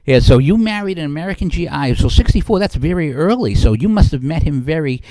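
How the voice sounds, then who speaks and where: A man's voice: a little high, animated, fairly quick, warm tone, no room sound. A man in his fifties hosting an interview, friendly and often restarting his questions. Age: 50s. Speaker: interviewer and host. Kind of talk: conversational interview.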